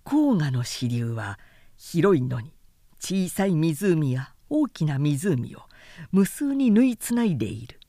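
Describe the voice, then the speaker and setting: A woman reading a literary story aloud as narrator, in a measured, theatrical storytelling manner. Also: low-pitched, sing-song, dark tone, dry recording, studio quality. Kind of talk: dramatic scripted literary narration.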